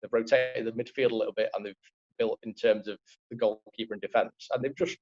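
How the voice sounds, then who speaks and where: A man's voice: lowish voice, steady tone, brisk, darkish tone, dull audio. A man with a Liverpool accent, a football writer talking football in a casual podcast chat, rambling with many fillers.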